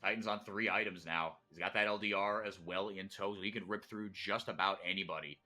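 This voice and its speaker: A Brazilian-born man casting esports play-by-play from a broadcast booth, excitable and quick to shout through big plays. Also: sits low. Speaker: play-by-play caster.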